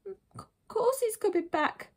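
Storybook role: woman as troublemaking small boy, squeakily high, cheeky, defiant and protesting. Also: sing-song, measured pace, bright, forceful tone, heavily acted. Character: cheeky, mischievous little boys, defiant troublemaking brothers. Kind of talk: children's storybook character reading.

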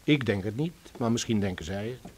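A man's voice: on the low side, lively intonation, fairly quick, darkish tone, a little hiss or hum, close to an everyday voice. An actor with a Dutch accent playing a wry, talkative man who reassures his friends in a radio drama. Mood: wry, reassuring.

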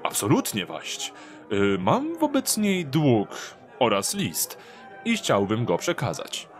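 A man playing a sly, smooth-talking street thief in an audio drama, with theatrical, old-fashioned courtesy. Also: medium pitch, huge pitch swings, slow, soft background music. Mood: falsely courteous.

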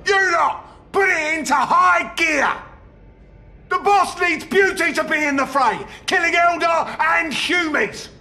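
An actor playing a proud, manic ork mechanic in a video game, boastful and raspy with a Cockney accent. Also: male voice, extremely high pitch, slow, full character voice. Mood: eager, commanding. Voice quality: raspy, gravelly. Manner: forceful, boastful. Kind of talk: video game character acting.

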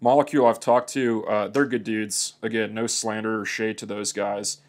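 A man's voice: fairly low pitch, level tone, normal speed. Plainspoken man explaining a repair. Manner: easygoing, plainspoken, talks while working. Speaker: motorcycle repair demonstrator. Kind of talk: hands-on how-to narration. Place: indoor workshop.

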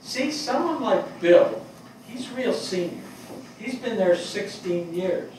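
An older, high-pitched fire captain, played by a man on stage, grieving and plain-spoken, feels his way haltingly through his words in a weary, reluctant manner. The sound comes from far off in a very echoey theatre. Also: lively intonation, a little mellow. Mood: fond, reminiscing. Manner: halting, hesitant delivery. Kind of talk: dramatic stage reading of a play. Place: small theatre stage before a seated audience. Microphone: distant camera microphone. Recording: very echoey hall, far microphone, noisy.

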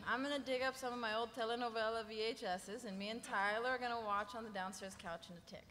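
A woman's voice: on the high side, fairly even intonation, quick pace, lightly acted. A young woman playing a wry, articulate young artist with a dry, teasing manner at a live table read. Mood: supportive. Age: young adult. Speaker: actress. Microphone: table microphone. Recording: echoey convention hall, faint noise.

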